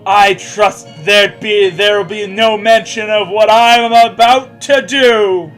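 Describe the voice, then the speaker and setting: A vengeful teenage schoolgirl heroine, put on by a man reading fan fiction, declaims with overblown indignation. The voice is high and forceful, over faint music. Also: fairly even intonation, slow pace. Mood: indignant, threatening.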